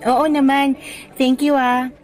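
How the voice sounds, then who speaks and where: A woman playing an upbeat young new high school teacher in a radio drama, friendly and expressive. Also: very slow. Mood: confident, cheerful. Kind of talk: Tagalog radio drama acting.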